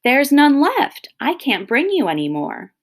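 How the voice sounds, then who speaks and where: A young storybook koala boy, earnest and easily troubled, acted out by a woman reading aloud. He speaks plaintively, in a slightly creaky voice. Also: high-pitched, huge pitch swings, measured pace, pitched above the performer's own voice, heavily acted. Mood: sad, giving up.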